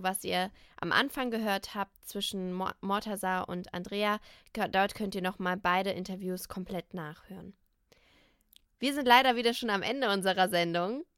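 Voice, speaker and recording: A woman's voice: medium pitch, a bit bright, clean tone, no echo, clean studio sound. A young woman hosting a radio show, chatting directly to her listeners in a friendly way. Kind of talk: casual radio outro.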